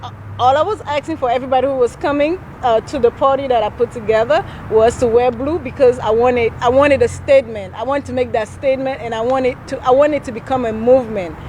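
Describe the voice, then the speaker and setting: A woman's voice: sits high, a little fast, a little mellow, slight background noise. Earnest Cameroon-born woman in her thirties. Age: 30s. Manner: earnest, with frequent restarts and laughs. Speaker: nonprofit founder. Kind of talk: conversational interview answers.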